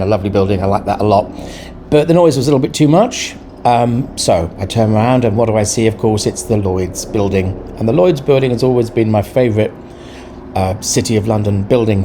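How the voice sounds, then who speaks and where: A man's voice: fairly low pitch, warm tone, slight background noise. A man giving informal, explanatory art commentary in a conversational, thoughtful way, choosing his words as he goes. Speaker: urban sketcher presenting a tutorial. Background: background music.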